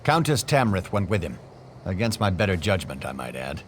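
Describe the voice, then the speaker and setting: A gruff, deep-voiced baron. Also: male voice, big pitch swings, moderate pace, a little hiss or hum. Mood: commanding. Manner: commanding, blunt, angry. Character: gruff male baron, commanding and hot-tempered.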